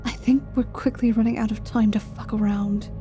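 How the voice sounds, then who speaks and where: A woman's voice: a little high, steady tone, moderate pace, music in the background, natural voice. A woman playing a wry, foul-mouthed adventurer and reluctant hero in an intimate ASMR fantasy roleplay. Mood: hushed dismay.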